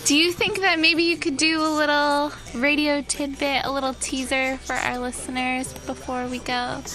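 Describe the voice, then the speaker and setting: A woman's voice: sits high, little pitch movement, moderate pace, bright, forceful tone. Woman college radio host. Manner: upbeat station announcements. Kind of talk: radio hosting.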